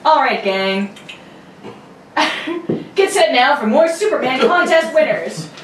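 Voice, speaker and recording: A woman's voice: sits high, expressive, slow pace. A woman hosting informally, mixing announcements with casual chat. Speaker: host, announcer. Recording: echoey room, distant mic, faint noise.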